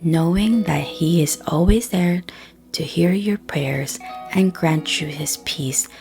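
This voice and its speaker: Female meditation and prayer guide, soft and breathy with a creaky edge, very unhurried scripted read with frequent pauses. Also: lowish voice, faint music behind.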